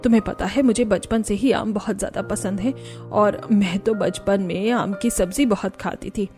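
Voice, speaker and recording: A woman's voice: mid-range voice, steady tone, moderate pace, dark tone, faint music behind, pitched below the performer's own voice, natural voice. A woman voicing a caring, middle-aged mother-in-law in a Hindi family cartoon. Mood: fond, praising.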